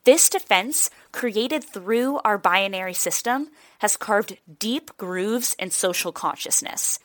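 A young adult woman narrating a reported news magazine episode in a clear, even newsreader manner. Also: medium pitch, slow pace, no echo. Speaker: reporter and host. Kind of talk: scripted narration.

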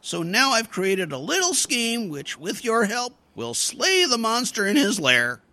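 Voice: mid-range voice, huge pitch swings, measured pace, bright, forceful tone, full character voice. An actor playing a scheming, slangy teenage schoolboy in an old-time radio comedy, theatrical and wheedling.

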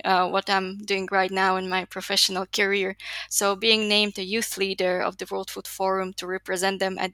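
A woman's voice: mid-range voice, pushed and bright, no echo. A woman, giving earnest, articulate answers as an interview guest. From Slovakia. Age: 20s. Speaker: podcast guest, youth leader.

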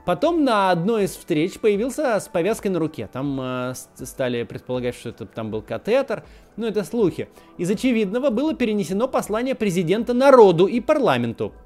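A man's voice: high-pitched, sing-song, fairly quick, darkish tone, soft background music. A man hosting a political video, delivering scripted commentary with ironic, sardonic asides.

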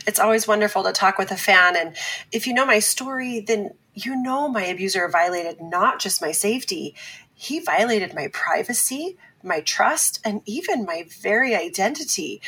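Firm, deliberate female pundit for a podcast drama, earnest with a rough-edged husky tone. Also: medium pitch, expressive, fairly bright tone, low-quality recording. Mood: measured. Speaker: talk-show commentator. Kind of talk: scripted fiction podcast acting.

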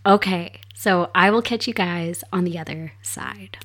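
A woman with a hoarse, raspy voice from a cold takes her time with every phrase. Her pitch sits a little low, and she talks softly and without hurry, as if reading a tale at home. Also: soft background music. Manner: soft, unhurried storyteller. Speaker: podcast host. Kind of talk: casual chat and storytelling. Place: home desk set up for streaming.

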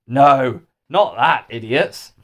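A man's voice: on the high side, huge pitch swings, unhurried, voice pushed up, heavily acted. A man voicing a scheming, scornful schoolboy in a children's story, emphatic and smug.

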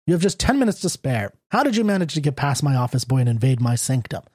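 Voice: medium pitch, sing-song, fast talker, darkish tone, breathy edge, dry recording, studio quality. A reader voicing a proud, down-and-out male writer who talks bluntly and sardonically. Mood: sardonic. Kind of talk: audiobook character reading.

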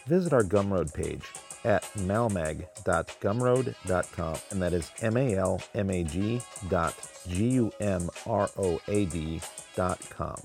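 An American man with a fairly low voice, hosting a podcast. He speaks as an interviewer and presenter, and music can be heard in the recording. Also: expressive, unhurried, vocal fry, a little mellow. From American Midwest. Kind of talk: casual interview chat, with a read-out intro.

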